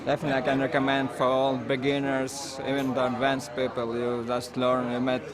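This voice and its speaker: Over clear outdoor crowd chatter, a man with a Russian accent talks in an interview. His pitch is medium and stays fairly even. He speaks casually and haltingly, searching for his words in non-native English. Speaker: engraver, coin carver.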